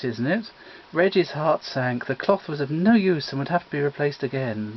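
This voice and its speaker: A man's voice: a little high, slight background noise. A man reading a comic mystery story aloud as scripted narration. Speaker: story reader. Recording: dull lo-fi home recording.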